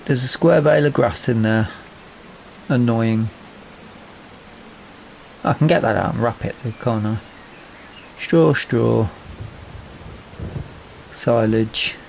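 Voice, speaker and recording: Creaky-voiced man chatting over game noise. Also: medium pitch, slow pace, pauses often, a little mellow. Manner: muttering to himself, thinking aloud. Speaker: gaming video host. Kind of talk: casual let's play commentary. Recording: noisy, rain and vehicle sounds. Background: farming game rain and vehicle noise.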